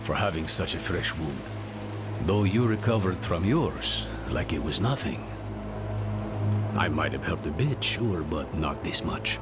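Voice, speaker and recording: Low-voiced, lively male guide. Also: unhurried, loud music behind the voice. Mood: curious, watchful. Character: inquisitive, watchful male guide and companion. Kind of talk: video game dialogue acting.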